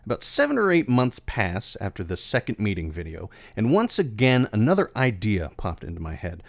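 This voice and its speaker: Lowish voiced guy telling a scripted story, kinda theatrical and wordy, super dry studio sound. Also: wildly swooping pitch, moderate pace, no room sound. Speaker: video creator and voice actor.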